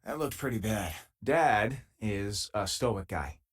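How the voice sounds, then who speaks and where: A man playing a loyal, easygoing former miner and spaceship crewmate in a video game, with a husky, casual, wry delivery. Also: lowish voice, expressive, takes it slowly, heavily acted. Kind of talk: video game companion dialogue.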